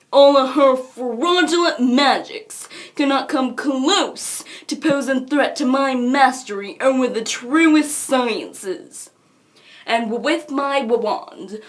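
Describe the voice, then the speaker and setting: A woman voicing a haughty, pompous young troll aristocrat with theatrical delivery. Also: high-pitched. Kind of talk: fan character voice acting reel.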